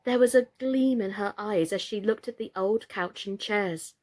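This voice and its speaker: A woman storyteller narrating a children's book aloud in an expressive, deliberate way. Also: mid-range voice. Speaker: storyteller, actress reading aloud.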